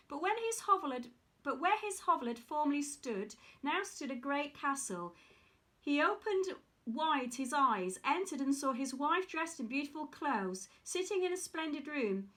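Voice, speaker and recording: Woman reading a fairy tale aloud, careful and steady, bright high voice. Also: moderate pace. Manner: careful, steady read-aloud with small slips. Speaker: reader.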